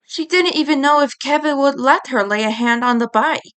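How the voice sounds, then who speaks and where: A woman's voice: high-pitched, normal speed, a bit bright. A woman reading scripted fan fiction as an audiobook narrator. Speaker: audiobook reader.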